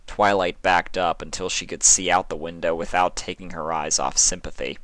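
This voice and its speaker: Male story narrator, low pitch, dramatic scripted read, dry recording. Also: steady tone, moderate pace, a bit bright, no echo, rough recording. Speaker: fan fiction reader.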